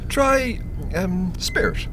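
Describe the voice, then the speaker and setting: A man's voice: high-pitched, takes it slowly, noisy background. A man with an Irish accent playing a wry, matter-of-fact spirit who guides the newly dead in a radio play. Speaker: radio drama actor.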